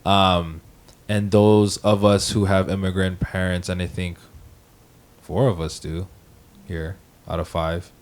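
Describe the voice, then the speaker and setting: A young man from Hawaii, a podcast co-host, talking casually, joking and swearing, then speaking softly and thoughtfully about his family. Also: sits low, flat delivery, takes it slowly, pauses often. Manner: joking, profane, then reflective and soft-spoken. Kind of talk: casual group chat, storytelling.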